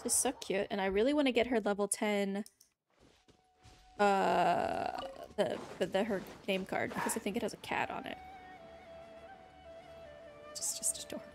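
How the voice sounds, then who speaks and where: A woman giving casual, gushing live commentary on a game she is playing, with an expressive, slangy way of talking. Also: mid-range voice, normal speed, pauses often, faint music behind. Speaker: game streamer.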